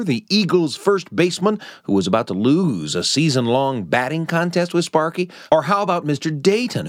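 Animated American male storyteller-host. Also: medium pitch, no echo, studio quality. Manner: dramatic, rhetorical, emphatic. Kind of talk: conversational storytelling narration.

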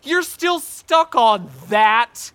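Excitable, high-pitched alien chaser. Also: male voice, drawn-out words, clear, resonant tone, no echo, heavily acted. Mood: defensive, wounded. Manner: defensive, excitable. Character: excitable, defensive, obsessive alien-chasing brother. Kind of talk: family audio drama acting.

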